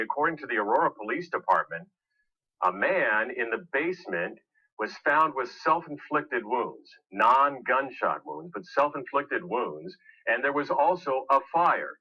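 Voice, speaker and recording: A man's voice: medium pitch, pushed and bright, slightly rough. An American man reporting breaking news live in the manner of a broadcast correspondent. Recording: dull, muffled broadcast audio.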